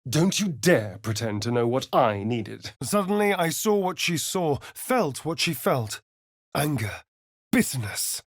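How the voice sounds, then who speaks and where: An actor playing a suave, sardonic, preening vampire rogue in a video game, with an arch, theatrical drawl and dramatic pauses. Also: male voice, mid-range voice, very animated, dry recording, studio quality. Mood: dramatic, haunted. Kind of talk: video game character voice acting.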